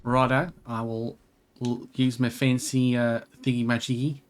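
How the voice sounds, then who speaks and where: A man's voice: mid-range voice, little pitch movement, a little slow. A man chatting casually in a rambling, jokey, sweary way as he plays a co-op video game. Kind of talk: casual co-op let's play chat.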